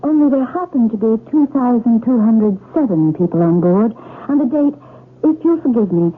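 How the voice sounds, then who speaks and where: An actress playing a poised, polite young wife of a professor in a radio drama, with a theatrical manner. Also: female voice, a little high, lively intonation, normal speed. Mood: poised, probing. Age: young adult. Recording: tinny, noisy old radio broadcast.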